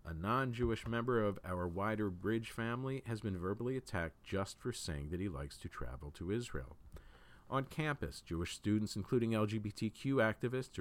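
A man reading written opinion articles aloud as a news host, in a measured, deliberate way with emphatic phrasing. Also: lowish voice.

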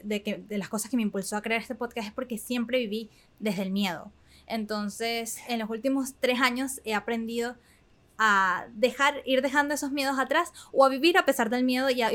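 A Venezuelan woman in her twenties, hosting a podcast and telling her own story in a candid, chatty way with fillers and soft laughs. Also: fairly high pitch. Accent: Venezuelan Spanish. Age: 20s. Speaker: podcast host. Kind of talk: casual personal monologue.

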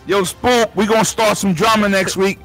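An American man bantering casually in brash, animated, streetwise slang. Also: high-pitched, normal speed, faint music behind. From United States.

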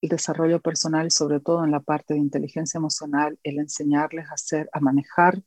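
A woman with an Argentine accent talks earnestly about her path, pausing often to gather her thoughts. Her voice is mellow and warm. The sound is dry and close, with no room around it. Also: deep voice, level tone, dry recording. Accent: Argentine Spanish. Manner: earnest, reflective, with many pauses and fillers. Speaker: guest, educator and author. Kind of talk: interview.

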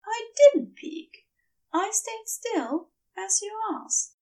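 A woman's voice: extremely high pitch, animated, very slow, a little mellow, recorded from a distance, voice pushed up, heavily acted. A woman voicing an obedient, devoted male angel in a story, earnest, careful and submissive. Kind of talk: audiobook character dialogue.

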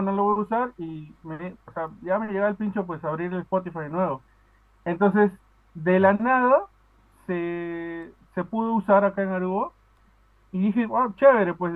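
A man from Peru calling into a podcast, chatting casually with many fillers and restarts. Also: high voice. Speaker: podcast caller. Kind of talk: casual chat. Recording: tinny phone line.

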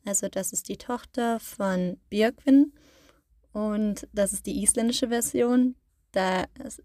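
A woman's voice: mid-range voice, darkish tone, dry recording, studio quality. A woman hosting a podcast, explaining in a casual way, often rephrasing herself and using fillers. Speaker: podcast host.

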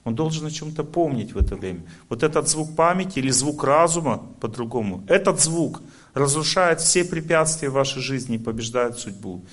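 A man's voice: medium pitch, lively intonation, a little fast. A man speaking as a spiritual lecturer, explaining his teaching step by step to an audience and checking that listeners follow. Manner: didactic, explaining step by step with rhetorical check-ins.

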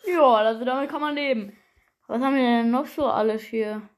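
Female game storyteller, highish voice, casual, halting, chatting straight to her audience. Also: very noisy. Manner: chatty, halting, addresses listeners often. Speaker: narrator and player. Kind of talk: improvised, casual first-person game storytelling.

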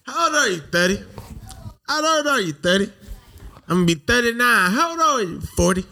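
A man's voice: extremely high pitch, very animated, brisk, gravelly, bright, forceful tone. A man in his thirties co-hosting a comedy podcast with playful, boisterous, slangy banter. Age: 30s. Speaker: podcast co-host.

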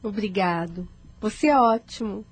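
A woman's voice: medium pitch, moderate pace, breathy edge. An actress playing a troubled, anxious pregnant woman in a radio soap opera, polite and confiding. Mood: downcast, tender.

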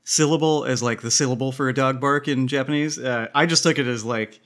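A man's voice: mid-range voice, steady tone, brisk, fairly bright tone. A man talking as a guest in a casual podcast chat, hesitant and searching for words with many fillers.

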